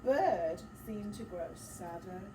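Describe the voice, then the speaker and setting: A woman's voice: medium pitch, slow pace. A woman teacher reading a picture book aloud to children, expressively, breaking off for encouraging questions and asides. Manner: expressive, dramatic gasps, encouraging prompts. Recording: roomy, distant mic, clear background noise.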